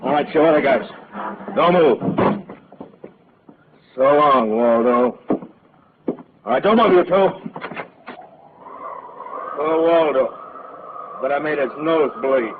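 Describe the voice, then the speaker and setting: A man's voice: on the high side, takes it slowly, pauses often. An actor playing a menacing, mocking drunken gunman in a 1940s radio drama, barking orders. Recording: tinny old radio broadcast with noise.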